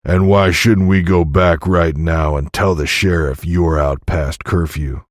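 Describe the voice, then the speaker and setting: A man voicing a surly, dismissive Old West deputy who talks gruffly and impatiently in an audiobook story. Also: low-pitched, steady tone, measured pace, no echo, clean studio sound. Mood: mocking.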